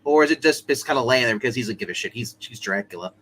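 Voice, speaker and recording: A man working as game master, narrating a tabletop roleplay story in a casual, halting way that circles back on itself. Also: medium pitch, expressive, fairly bright tone. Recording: clear background noise.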